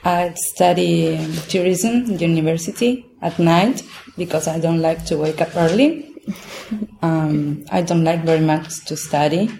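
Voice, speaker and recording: A woman from Argentina, a student talking in a relaxed way. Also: fairly low pitch, unhurried, gravelly, a little hiss or hum. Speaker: language student. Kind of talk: casual podcast interview.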